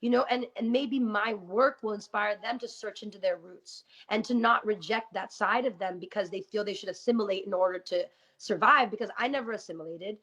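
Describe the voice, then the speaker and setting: A woman guest talking candidly in a radio interview, earnest and quick, with many "you know" fillers. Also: mid-range voice, a bit bright. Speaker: guest rapper. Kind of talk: casual interview talk with rapped verses. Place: radio studio. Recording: muffled lo-fi sound.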